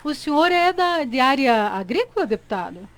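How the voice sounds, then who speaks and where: A woman's voice: high voice, sing-song, normal speed. A woman hosting a conversational interview, interjecting to prompt and agree. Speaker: radio and TV interviewer, host.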